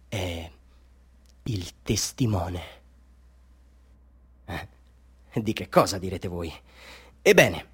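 Boastful, chatty street photographer. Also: male voice, on the high side, husky. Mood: boastful, grandiose. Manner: salesman-like, pompous, confiding, rhetorical questions. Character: boastful, chatty small-time Bolivian street photographer hustling tourists. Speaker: actor in a radio drama monologue. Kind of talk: theatrical radio monologue addressed to tourists.

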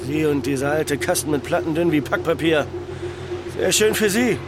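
An actor playing an agitated, aggrieved ship's crewman in a radio play, with a shrill, blustering manner. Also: lively intonation, normal speed, background noise, close to an everyday voice. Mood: aggrieved, ranting.

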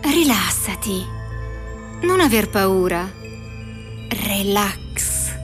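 A woman playing a calm, teasing, commanding signorina in a radio play, unhurried and seductive. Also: medium pitch, soft background music. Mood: soothing, seductive. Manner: unhurried, calm, friendly even when scolding.

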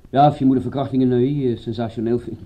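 An actor playing an unhurried, matter-of-fact colleague of a detective in a Dutch radio drama. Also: male voice, medium pitch, fairly even intonation, dark tone, natural voice. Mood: casual, matter-of-fact. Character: unhurried male colleague with police contacts. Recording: dull radio drama recording.

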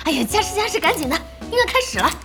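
A woman playing an excitable, bossy young boss and fanatical esports fan in an audio drama, impatient and exclamatory. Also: extremely high pitch, very fast, heavily acted. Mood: excited. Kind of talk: audio drama acting, conversational. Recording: music behind the voice.

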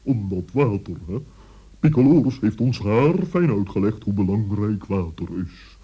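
A man's voice: on the low side, lively intonation, measured pace, dark tone, husky. A man playing a self-important, earnest talking bird in a children's radio play, solemn and fussy. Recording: muffled old radio play recording.

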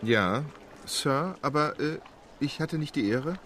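A calm, precise English gentleman. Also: on the high side, slow, noisy background. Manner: calm, clipped, formal. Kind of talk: radio play acting.

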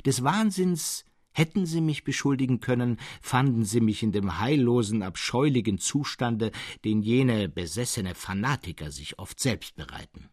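A man playing a serene, mad hermit who believes himself a martyred monk, speaking calmly, eloquently and insistently in a dramatic audiobook reading. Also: medium pitch, normal speed, hardly any pauses, no room sound, studio quality, close to an everyday voice. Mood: gentle, dignified. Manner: calm, eloquent, insistent, with rhetorical questions.

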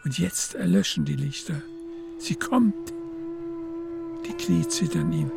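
Woman narrating a radio drama, creaky voice, music underneath. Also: lowish voice, huge pitch swings, slow. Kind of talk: scripted literary narration in a radio play.

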